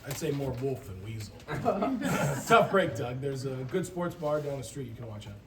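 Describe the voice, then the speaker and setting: A man's voice: mid-range voice, sing-song, moderate pace, natural voice. A man playing a cocky, shameless ex-boyfriend in a live comedy table read. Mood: smug. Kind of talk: live comedy script reading. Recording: echoey, distant mic, loud noise.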